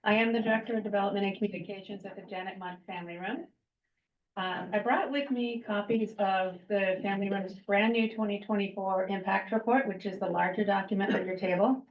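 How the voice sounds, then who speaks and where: A woman's voice: mid-range voice, fairly even intonation. A woman from Vermont delivers a prepared talk to a meeting room, earnest and a little hesitant with fillers. Her voice sits in a middle range. The recording carries a roomy echo and a dull, muffled tone. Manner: earnest, reads with pauses and fillers. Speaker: guest speaker. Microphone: handheld microphone, some distance. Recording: echoey room, dull lo-fi sound.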